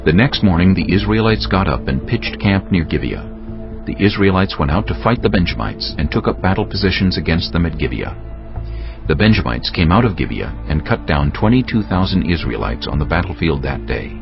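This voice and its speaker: A man's voice: low voice, lively intonation, brisk, vocal fry, lo-fi sound, over music. A man narrating dramatized scripture as a solemn storyteller.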